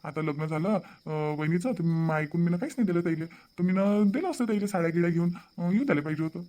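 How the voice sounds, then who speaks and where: Casting: voice actor as an easygoing young son, quick persuasive talk. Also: medium pitch, warm tone, lightly acted. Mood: reproachful. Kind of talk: cartoon voice acting.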